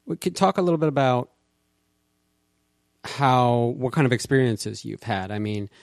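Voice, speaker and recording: A man interviewing a guest, asking measured, probing questions and often restarting his sentences. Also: mid-range voice, expressive, gravelly, no echo, clean studio sound. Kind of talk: interview conversation.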